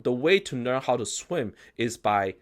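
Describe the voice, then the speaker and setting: A man's voice: mid-range voice, lively intonation, unhurried. A man whose first language is Chinese explains investing in casual, emphatic talk, acting out quoted reactions. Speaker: investment company founder.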